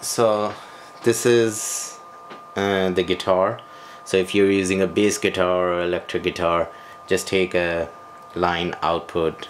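A man presenting a hands-on equipment tutorial, speaking off the cuff in halting, broken phrases with frequent hesitations. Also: rumbling low. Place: small bedroom, indoors. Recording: home video with noticeable background noise.

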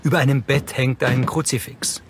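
A man's voice: mid-range voice, fairly even intonation, normal speed, noisy background. A man reading scripted, present-tense audio description in a neutral, factual manner. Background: music and show soundtrack.

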